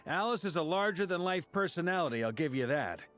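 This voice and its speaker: A man's voice: a little high, lively intonation, a little fast, fairly bright tone, faint music behind. A man voicing a genial, self-assured knight in a video game, talking casually and with a bantering manner. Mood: amused. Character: easygoing, genial grown male knight, joking and self-assured.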